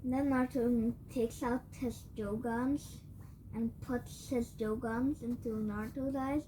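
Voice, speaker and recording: Woman, very slow delivery, mellow voice, rambling, self-correcting, frequent throat-clearing, tired cracking voice. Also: a little high, fairly even intonation, a little hiss or hum, rough recording. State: voice tiring and cracking. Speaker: storyteller. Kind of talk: improvised fan-fiction retelling.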